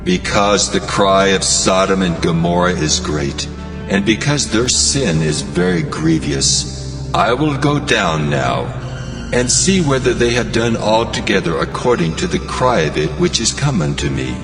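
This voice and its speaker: A man playing God as a solemn, weighty judge in a dramatized scripture reading, gravelly and deliberate. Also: low-pitched, lively intonation, over music.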